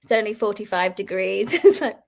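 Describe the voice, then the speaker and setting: A woman's voice: medium pitch, lively intonation, a little fast, gravelly. A woman in her thirties who grew up in Belgium, chatting casually and laughing often as an interview guest. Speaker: guest crochet designer.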